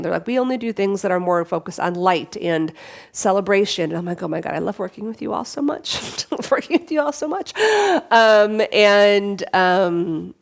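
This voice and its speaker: A woman's voice: fairly low pitch, vocal fry. A middle-aged woman hosting a reflective solo podcast, sniffly with a cold, earnest and prone to repeating and restarting her words as she talks to listeners. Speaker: podcast host.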